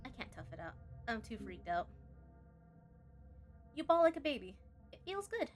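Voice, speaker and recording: A woman streaming a game live, chatty and expressive, reading the on-screen story aloud and tossing in playful asides. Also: a little high, normal speed. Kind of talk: live let's-play commentary, reading game text aloud.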